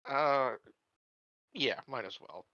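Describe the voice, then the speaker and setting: A man chatting as a tabletop game player, informal and laid-back. His voice is bright and medium in pitch, though the recording is a little dull and lo-fi. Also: animated, takes it slowly, dry recording. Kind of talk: casual game chat.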